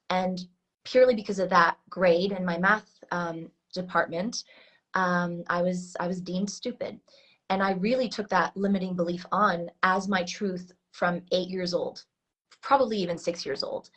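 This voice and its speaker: A woman's voice: on the low side, fairly bright tone. Earnest woman with an American accent. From Switzerland. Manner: earnest, many restarts and fillers, laughs often. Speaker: coach, guest. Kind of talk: casual interview talk.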